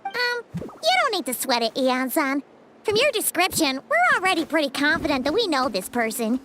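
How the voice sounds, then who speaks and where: A woman voicing a small, chirpy, cheeky fairy companion with a childlike, chatty, expressive manner in a video game. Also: sits high, normal speed, a little hiss or hum, full character voice. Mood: cheerful, casual. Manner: chatty, expressive, refers to self by name.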